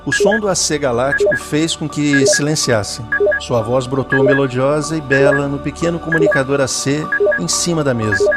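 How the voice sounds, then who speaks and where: A man's voice: mid-range voice, moderate pace, hardly any pauses, over loud music. A man with a Brazilian accent narrating a scripted science fiction audio drama.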